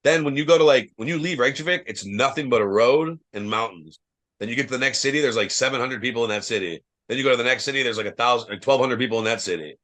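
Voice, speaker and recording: A young man from Ohio, a wrestler guesting on a podcast, telling loose, profane travel stories full of fillers, with a loud voice. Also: mid-range voice, quick pace. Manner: says he has a loud voice. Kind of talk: casual podcast chat.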